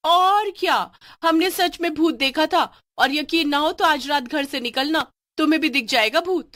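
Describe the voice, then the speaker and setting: A woman's voice: high voice, moderate pace, a bit bright, pitched above the performer's own voice. A woman voicing an indignant, argumentative villager in a storybook tale. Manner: heated, argumentative. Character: indignant villager insisting the ghost was real.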